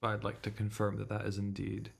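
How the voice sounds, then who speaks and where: A man's voice: on the low side, monotone, a little fast, vocal fry, background noise. A man doing casual livestream commentary on a visual novel, thinking aloud in a muttering way and swearing casually. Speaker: streamer reading a visual novel.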